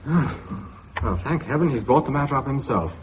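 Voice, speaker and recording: A British actor playing a businesslike male heir to a family firm in a radio drama, with a brisk, crisp manner. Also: mid-range voice, expressive, natural voice. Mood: dismissive. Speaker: company director. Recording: dull, noisy old radio recording.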